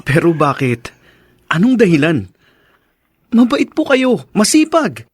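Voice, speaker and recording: An actor playing a gentle, troubled young husband and dutiful son in a radio drama, earnest and pleading. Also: male voice, high voice, wildly swooping pitch, takes it slowly, husky, dry recording, clean studio sound, heavily acted. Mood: confused, earnest. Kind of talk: Tagalog radio drama acting.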